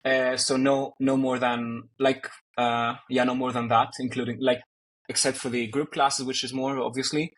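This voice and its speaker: A man's voice: mid-range voice, flat delivery, moderate pace, fairly bright tone. A man from Greece, a native Greek speaker, chatting as an interview guest and talking with many fillers and restarts. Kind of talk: casual conversation.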